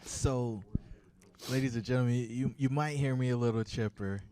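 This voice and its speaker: A man's voice: medium pitch, normal speed, mellow. A man hosting a podcast, asking questions in an upbeat, playful, teasing way. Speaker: podcast host. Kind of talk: casual interview hosting. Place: Miami.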